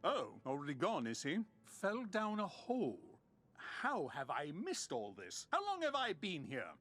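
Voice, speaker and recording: Bombastic video game explorer hero, high pitched for a man, super animated, theatrical, bit of music behind. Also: measured pace, full character voice. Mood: bewildered. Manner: theatrical, grandiose declamation. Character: bombastic, dramatic adventurer man, vain and vengeful. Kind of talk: video game character acting.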